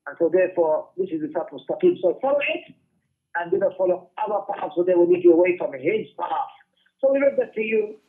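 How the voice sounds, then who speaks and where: A man's voice: high-pitched, sing-song, fairly quick. A man giving an emphatic religious lesson as a teacher, repeating phrases for stress as he reads and explains texts. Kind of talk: Islamic lesson, reading Arabic texts and explaining them in English. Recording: tinny phone-like radio-style lecture audio.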